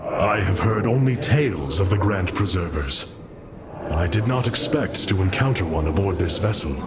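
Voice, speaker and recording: A man playing a noble, stern alien warrior leader in a sci-fi game, grave, commanding and deliberate. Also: low voice, lively intonation. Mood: grave, sympathetic. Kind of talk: scripted sci-fi game dialogue. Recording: loud music behind.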